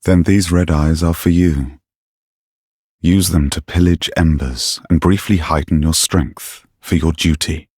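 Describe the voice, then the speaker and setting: An actor playing a sly, haughty knight-assassin in a video game, speaking in a silky, deliberate and theatrically formal manner with a gravelly edge. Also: male voice, fairly even intonation, a little mellow, dry recording, studio quality, full character voice. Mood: sly, knowing. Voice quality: low, husky, gravelly. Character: sly, menacing, haughty knight-turned-assassin, devoted to his goddess. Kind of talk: video game character dialogue.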